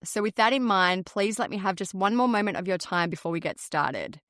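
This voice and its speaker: Australian female podcast host, measured scripted read, dry close sound. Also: medium pitch, fairly bright tone, no echo. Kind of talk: scripted true crime podcast narration.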